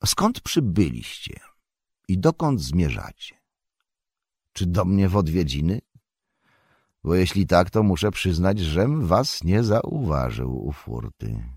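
A man voicing a stout, older male hobbit farmer who talks loudly and heartily. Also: sing-song, slow, long pauses, slightly rough, no room sound, studio quality, exaggerated voice. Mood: shrewd. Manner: loud, booming and hospitable.

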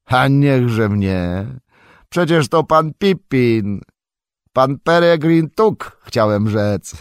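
A man voicing a stout, older male hobbit farmer who talks loudly and heartily. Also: expressive, measured pace, no room sound, clean studio sound. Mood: hearty. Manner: loud, booming and hospitable.

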